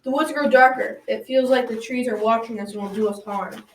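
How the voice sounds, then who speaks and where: A woman's voice: a little high, a little fast, close to an everyday voice. A young female amateur playing a suspicious, scornful brother in a radio drama. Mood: alarmed. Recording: echoey room, distant mic, loud noise.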